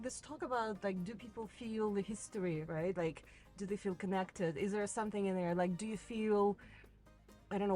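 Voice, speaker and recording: A woman's voice: on the low side, dark tone. Chatty woman in a car. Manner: chatty, with frequent restarts and many "right"s. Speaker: rideshare passenger. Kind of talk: casual conversation. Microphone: car interior mic.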